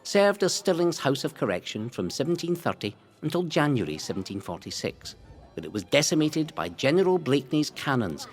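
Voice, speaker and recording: A Scottish man reading a scripted history narration in a dramatic, deliberate storytelling way. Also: a little high, very animated. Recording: long hall-like reverb.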